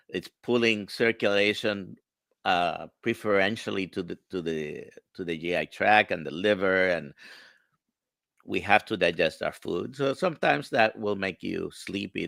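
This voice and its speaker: A man with a Spanish-sounding accent from a stroke, a doctor explaining in slow, halting phrases on a live stream. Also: fairly low pitch, creaky, a bit bright, no echo, clean studio sound. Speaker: doctor guest. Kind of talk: casual live-stream chat.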